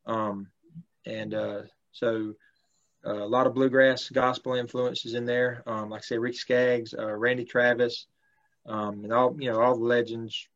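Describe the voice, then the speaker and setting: A man, a country singer talking casually in an interview in a relaxed, polite way with many fillers. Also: mid-range voice, a little slow. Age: 30s. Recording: slightly dull lo-fi sound.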